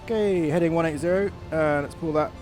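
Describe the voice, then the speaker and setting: A man's voice: on the high side, a little fast, over music. A man giving casual, enthusiastic commentary while playing a flight simulator. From outside the United States. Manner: chatty, enthusiastic. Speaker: flight sim content creator.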